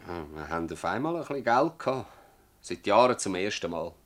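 An actor playing a stubborn, bitter old village farmer in a Swiss German dialect radio play, rambling and emphatic with rhetorical repetition. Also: male voice, on the low side, very animated, a little fast, fairly bright tone. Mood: agitated, rueful.

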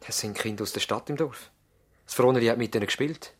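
Actor as husky, earnest Swiss country doctor. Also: male voice, mid-range voice, quick pace, natural voice. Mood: worried. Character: earnest, worried young male country doctor. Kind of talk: German-language radio drama acting. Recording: slightly veiled 1970s radio drama sound.

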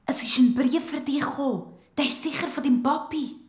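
Woman as inquisitive young Swiss German boy, children's audio story: nosy, blunt, pitched up. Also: brisk, natural voice. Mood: eager, nosy. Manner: inquisitive, pestering.

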